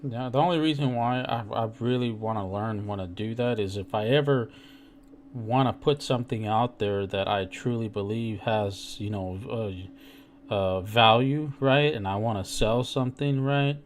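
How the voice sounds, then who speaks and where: A man's voice: mid-range voice, moderate pace, slight background noise. A man in casual conversation, blunt and sweary, often checking that listeners follow him. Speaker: crypto live stream participant. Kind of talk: casual chat.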